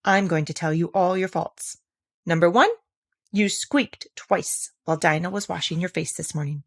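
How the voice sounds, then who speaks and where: A woman voicing a chatty, imaginative little girl in a storybook, animated and mock-stern as she talks to her kitten. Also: on the low side, moderate pace, dry recording, clean studio sound. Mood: mock-stern scolding. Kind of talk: storybook character voice.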